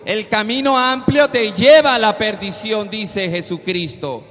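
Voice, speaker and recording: Male preacher, very high voice, slow emphatic delivery with shouted peaks, long hall reverb. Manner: slow, emphatic exhortation with sudden loud peaks. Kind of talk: sermon.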